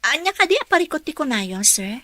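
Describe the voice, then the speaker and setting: A woman's voice: high-pitched, very animated, brisk, sharp tone, no echo, studio quality, full character voice. A woman with a Filipino accent playing an eager, polite young graduate in a radio drama. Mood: earnest, respectful. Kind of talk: Ilocano radio drama acting.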